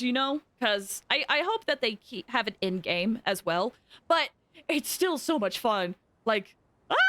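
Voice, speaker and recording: Bright, animated young woman streaming. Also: a little high, no echo. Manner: effusive, exclaiming, giggly. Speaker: game streamer. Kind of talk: casual livestream chat. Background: game music.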